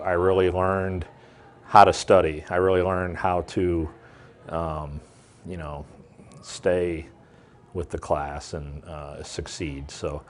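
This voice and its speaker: Very low-voiced man; reflective adult student and manager in a documentary interview, who lets his sentences fade out.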